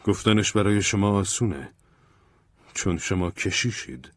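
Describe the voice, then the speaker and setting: A blunt, indignant man demanding justice, with a very deep, husky voice, as the narrator voices him. His delivery is dry and close. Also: no room sound. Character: angry young man seeking justice.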